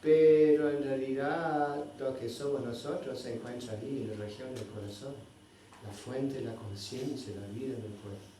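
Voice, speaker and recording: Man, spiritual teacher, mellow voice, halting phrasing, questioning tags, very echoey hall, distant mic. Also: mid-range voice, steady tone, slight background noise. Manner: searching for words, constant "¿no?" tags. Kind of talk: scripture class. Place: indoor temple hall. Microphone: microphone at a distance.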